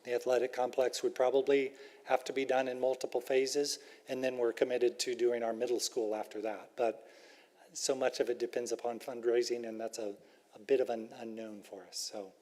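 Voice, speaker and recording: A man speaks for a school at a community workshop and answers residents' questions with careful, hedged replies. His voice sits at an ordinary pitch. A long reverb from the meeting hall trails behind each phrase. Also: level tone, moderate pace, a little mellow. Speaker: school representative.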